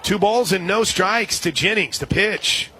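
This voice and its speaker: A man doing live radio play-by-play of softball as the game announcer. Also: high voice, a little slow. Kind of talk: live play-by-play sports commentary. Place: outdoor softball ballpark broadcast position. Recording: crowd noise, noisy outdoor ballpark broadcast. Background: ballpark crowd and ambient noise.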